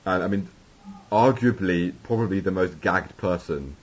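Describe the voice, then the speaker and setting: A man's voice: sits low, normal speed. A man interviewing a guest during a recorded call, asking rambling questions with restarts. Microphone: video call. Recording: dull lo-fi call audio.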